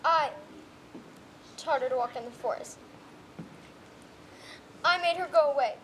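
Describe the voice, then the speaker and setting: Young woman as a heartbroken little orphan girl in a stage play, super high voice, bit of background noise. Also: measured pace, fairly bright tone, low-quality recording. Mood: sorrowful. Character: grief-stricken, imaginative young orphan girl. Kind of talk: school stage play acting.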